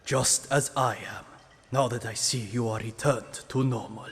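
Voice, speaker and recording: A gravelly old mutant rat master, voiced by a man, measured and gentle. His formal, fatherly words come slowly, with a long reverb around them. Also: mid-range voice, fairly even intonation, slight background noise, close to an everyday voice. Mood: calm, reassuring. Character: wise, calm elderly mutant rat father and sensei. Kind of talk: dramatic audio drama acting.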